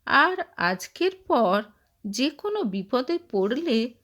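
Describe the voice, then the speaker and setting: A woman's voice: high-pitched, big pitch swings, takes it slowly, fairly bright tone, heavily acted. A woman voicing a stern, protective, old-fashioned husband, earnest and reproachful, in a dramatised story. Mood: gentle reproach. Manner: earnest, reproachful questioning and advising.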